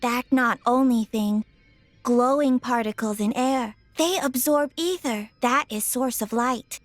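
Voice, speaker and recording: A woman's voice: on the high side, unhurried, no room sound, soft background music, lightly acted. A woman voicing a small, earnest, literal-minded robot girl who talks in broken grammar in a video game. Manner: broken grammar, refers to herself in third person.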